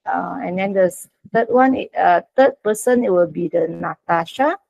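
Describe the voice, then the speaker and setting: A woman with a Malaysian accent moderating an online session in informal talk, polite and halting, over dull lo-fi call audio. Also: fairly low pitch, expressive, creaky, darkish tone, no echo. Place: online video meeting.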